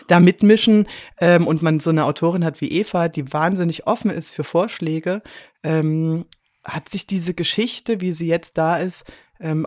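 A woman's voice: sits low, darkish tone, dry recording. A woman radio drama director answering interview questions in an informal, conversational way. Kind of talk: interview answers, casual storytelling.

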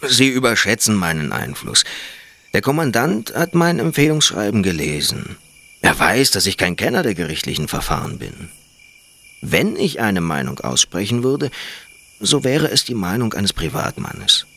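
Man acting a low-voiced, reserved educated traveller. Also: big pitch swings, creaky, pushed and bright, exaggerated voice. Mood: reserved, firm. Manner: measured, formal, deliberate. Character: reserved, educated male foreign traveller, modest and skeptical. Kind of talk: theatrical German radio play acting.

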